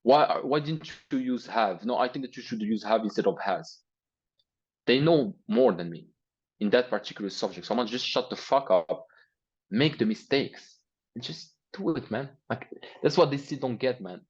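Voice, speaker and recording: Young Arabic-accented man, interview guest, blunt and profane, husky and slightly muffled. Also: medium pitch, darkish tone. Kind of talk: interview, motivational rant.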